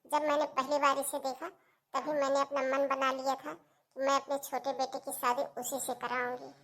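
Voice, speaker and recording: A woman voicing a gentle, persuasive motherly sister-in-law in a cartoon family drama, speaking softly, earnestly and reassuringly. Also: high voice, flat delivery, normal speed. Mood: calm, earnest.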